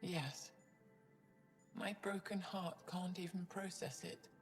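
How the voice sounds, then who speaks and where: A woman's voice: fairly low pitch, slow pace, soft background music. An actress playing a proud, embittered alien woman freed from captivity in a video game, grave and intense. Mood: grieving. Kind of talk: video game cutscene acting.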